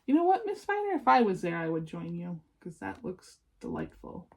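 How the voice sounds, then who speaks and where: A woman reading a picture book aloud expressively, with gentle, encouraging asides spoken directly to young listeners. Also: lowish voice, huge pitch swings, moderate pace, noisy background. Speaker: children's read-aloud presenter.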